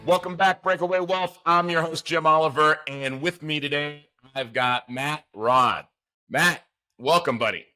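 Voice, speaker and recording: A man's voice: fairly high pitch, measured pace, pushed and bright, soft background music. A man hosting a podcast, chatty and enthusiastic as he talks with his guest. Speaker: podcast host. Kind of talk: casual interview chat.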